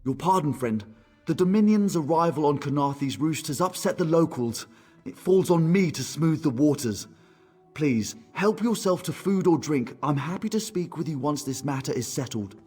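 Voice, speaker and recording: A man's voice: fairly high pitch, animated, moderate pace, darkish tone, husky, faint music behind. An actor playing a courteous, tactful male elf diplomat in a video game, speaking in a polite, formal, ornate way. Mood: courteous, careful. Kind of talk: video game character dialogue.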